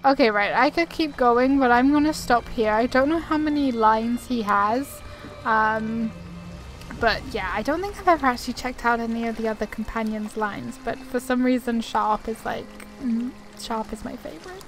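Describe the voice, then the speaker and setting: A woman's voice: on the high side, normal speed, faint music behind, poor audio. A woman giving casual, informal gameplay commentary, chatting and thinking aloud as she plays. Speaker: gaming video creator.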